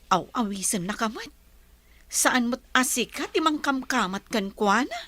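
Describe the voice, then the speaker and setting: A woman's voice: a little high, very animated, bright, forceful tone, exaggerated voice. A woman playing a teasing, meddling cousin and confidante in an audio drama. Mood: coaxing, teasing. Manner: teasing, drawn-out delivery.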